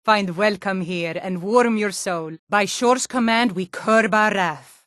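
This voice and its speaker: Proud Nord warrior, mid-pitched female voice, lofty archaic speech, solemn bardic delivery, fantasy game dialogue, dry studio sound. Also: slow, fairly bright tone, no room sound. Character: hearty Nord warrior woman of a heroic afterlife hall.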